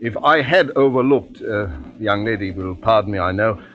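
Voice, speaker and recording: An actor playing a jovial, flirtatious gentleman ship's officer in a 1940s radio drama, breezy and florid. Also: fairly low pitch, normal speed, close to an everyday voice. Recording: dull, faintly noisy vintage radio recording.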